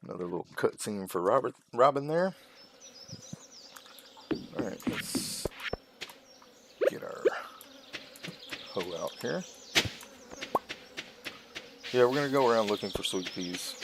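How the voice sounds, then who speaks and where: A man giving casual let's-play commentary, unhurried and thinking aloud as he plays. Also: sits low, gravelly. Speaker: gaming video host. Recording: loud game music behind voice. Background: video game music.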